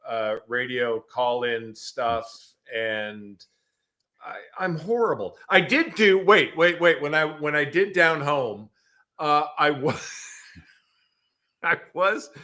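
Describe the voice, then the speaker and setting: A man chatting casually as a podcast guest, animated and self-deprecating, often repeating his words as he tells an anecdote. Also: a little high, slow pace. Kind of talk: casual chat, telling anecdotes.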